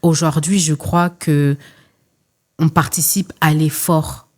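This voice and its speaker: A woman's voice: low voice, little pitch movement, slow pace. A woman from France, speaking earnestly and emphatically as a guest in a conversational interview, often repeating phrases to build a point. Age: 40s. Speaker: podcast guest, entrepreneur and former social worker.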